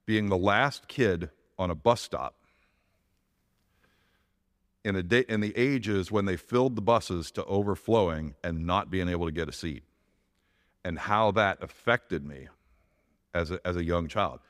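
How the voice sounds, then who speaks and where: A middle-aged man with a big, lowish voice gives a heartfelt personal testimony to his congregation. He speaks earnestly, restarting phrases as he goes, and the sound carries a long reverberant tail from the church hall. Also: moderate pace, fairly bright tone. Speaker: church elder giving a testimony.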